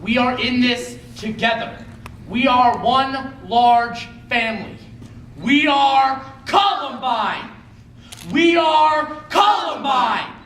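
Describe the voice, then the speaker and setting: Earnest, protective principal, played by a young man, bright high voice, emphatic stage delivery, roomy. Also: slow pace, full character voice. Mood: rallying. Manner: emphatic, dramatic. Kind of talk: readers theater stage delivery. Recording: roomy live stage, distant mic, faint noise.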